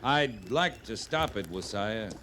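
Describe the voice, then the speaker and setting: Earnest frontier cavalry officer, old radio. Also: male voice, fairly low pitch, lively intonation. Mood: earnest, persuasive. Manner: plainspoken, earnest, deliberate. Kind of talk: 1950s radio western acting. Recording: slightly noisy vintage radio recording.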